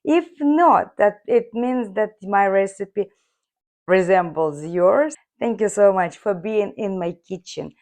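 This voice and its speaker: A woman hosting a cooking video from her home kitchen, her voice hoarse, chatting viewers through each step. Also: medium pitch, expressive, slow pace, darkish tone. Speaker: cooking channel host. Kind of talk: casual cooking demonstration.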